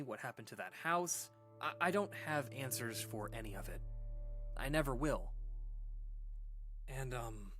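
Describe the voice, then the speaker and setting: An actor playing a shaken man who recounts an eerie memory in a halting, casual, earnest way, like a caller in a radio drama. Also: medium pitch, a bit bright. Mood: haunted, earnest. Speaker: caller telling a paranormal story on a radio show. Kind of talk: conversational scary storytelling, audio drama monologue.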